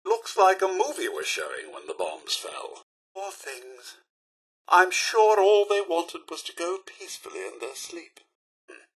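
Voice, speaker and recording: Video game robot butler: British, refined, easily dismayed, formal and deferential; highish pitch, bright, forceful edge. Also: male voice, a little slow, breathy edge, full character voice, poor audio. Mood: dismayed, wistful. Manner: formal, deferential, theatrically expressive. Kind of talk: video game companion voice lines.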